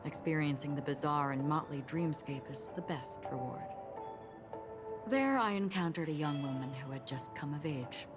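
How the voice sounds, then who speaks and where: A woman voicing a calm, solemn, forgetful swordswoman wanderer in a video game, speaking in a grave, measured, reflective way. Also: low-pitched, close to an everyday voice. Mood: wistful, reflective. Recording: loud music under the voice. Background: loud music.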